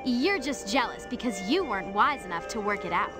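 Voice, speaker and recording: Woman playing a high-voiced, sensible teenage girl. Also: expressive, a little fast, close to an everyday voice. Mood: teasing. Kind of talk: animated game cutscene acting. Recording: music behind the voice.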